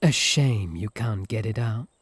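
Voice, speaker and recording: A man's voice: lowish voice, lively intonation, unhurried, slightly rough, no echo. A British man voicing a smug, possessive incubus suitor in a comedic ASMR roleplay, sarcastic and flirtatious. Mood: smug, taunting.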